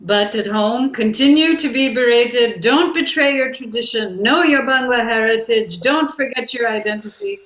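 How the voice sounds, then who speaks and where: A woman playing a reflective modern Bengali narrator, telling a poet's life in measured, expressive scripted narration. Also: a little high, dull audio, natural voice. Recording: echoey, roomy sound.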